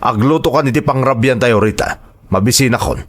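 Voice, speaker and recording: A man's voice: mid-range voice, fairly quick, lightly acted. A man playing a bad-tempered, bossy uncle who scolds his household in an audio drama, with a Filipino accent. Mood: irritated scolding. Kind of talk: Ilocano audio drama acting.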